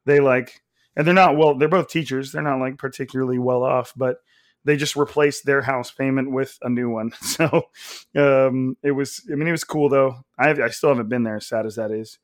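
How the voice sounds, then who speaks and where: A man with a raspy, slightly nasal, hoarse voice hosting a casual sports podcast, talking loudly and with energy. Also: medium pitch, fairly even intonation, normal speed, no echo, clean studio sound. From Central California. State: hoarse from coaching. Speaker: podcast host.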